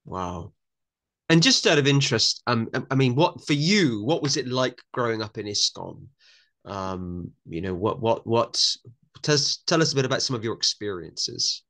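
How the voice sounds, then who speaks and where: A man's voice: medium pitch, animated, normal speed, fairly bright tone. A man hosting a conversational interview podcast, talking in a polite, informal, chatty way. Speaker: podcast host.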